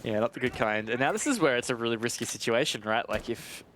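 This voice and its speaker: A man's voice: mid-range voice, fast, fairly bright tone, a little hiss or hum. A man giving conversational, analytical live commentary on an esports match, explaining things in a chatty way. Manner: chatty, explanatory, checks in with "right?".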